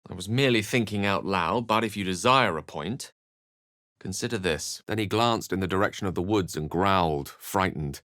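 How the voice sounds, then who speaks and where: A refined, scholarly video game wizard in middle age. He phrases things ornately and with wry precision, theatrical yet measured. The recording is close and dry. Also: deep voice, fairly bright tone, no room sound. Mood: somber narration. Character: eloquent, refined adult male wizard companion, wry and scholarly.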